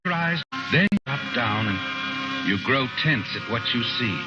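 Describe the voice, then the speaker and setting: The Whistler, an eerie night narrator. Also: male voice, medium pitch, expressive. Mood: tense. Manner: ominous, measured storytelling. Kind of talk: 1950s radio drama narration. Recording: dull vintage radio recording with music.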